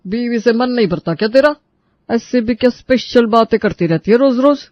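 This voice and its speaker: A woman's voice: a little high, animated, normal speed, no echo, muffled recording, natural voice. A woman voicing a cheeky, impatient male drinking buddy who teases his friend in a comic audio story. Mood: teasing. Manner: teasing, impatient.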